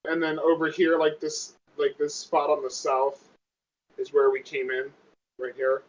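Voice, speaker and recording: Higher-voiced man on a dull lo-fi call. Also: completely flat delivery, measured pace. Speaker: player in a tabletop game. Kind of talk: casual game chat. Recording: dull lo-fi online call audio.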